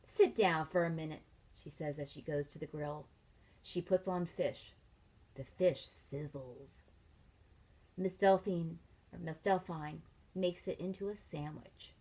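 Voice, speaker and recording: A middle-aged woman, a teacher reading a picture book aloud to children, expressively and with pauses to ask them leading questions. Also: low-pitched, steady tone, dark tone, husky. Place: small furnished room at home, indoors.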